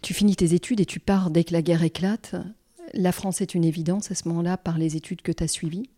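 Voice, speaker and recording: A woman's voice: lowish voice, fairly even intonation, brisk, dark tone. A woman hosting an interview podcast, asking her guest admiring questions. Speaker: podcast host.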